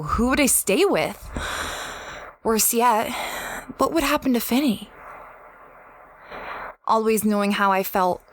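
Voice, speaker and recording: A woman's voice: mid-range voice, a little hiss or hum, close to an everyday voice. A woman playing an apologetic young female space adventurer in an audio drama, hesitant and self-effacing. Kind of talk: audio drama dialogue and diary-like narration.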